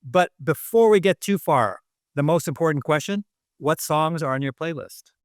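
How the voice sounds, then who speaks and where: Man, podcast host, enthusiastic, a bit high, smooth voice, dry close sound. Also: animated, moderate pace, no echo. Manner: enthusiastic, conversational, uses "you know". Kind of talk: casual interview hosting.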